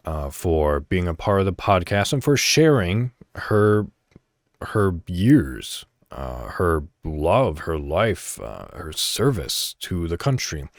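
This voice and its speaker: A man hosting a podcast alone, talking casually to his listeners in deliberate, broken-up phrases. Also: low-pitched, no room sound, clean studio sound. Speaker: podcast host. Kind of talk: solo podcast monologue, casual talk to listeners.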